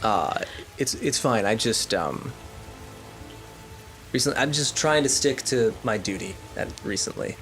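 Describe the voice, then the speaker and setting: A tabletop role-player improvising casually, narrating and speaking in character in a halting, hesitant way. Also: medium pitch, expressive, fairly bright tone, faint music behind. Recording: rain ambience over tabletop game recording.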